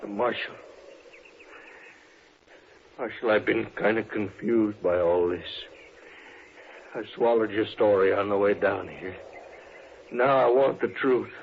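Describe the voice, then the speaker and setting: An American actor playing a hard, stubborn older rancher with blunt, folksy frontier speech in a radio western. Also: male voice, on the low side, slow pace, vocal fry, long pauses. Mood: grieving, demanding. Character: hard, hot-tempered older male rancher, proud and stubborn. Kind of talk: 1950s radio western acting. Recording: muffled, noisy old radio recording.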